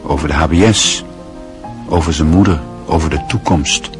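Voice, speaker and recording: A man narrating a literary radio drama in a measured, grave, reflective way. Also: rumbling low, music in the background.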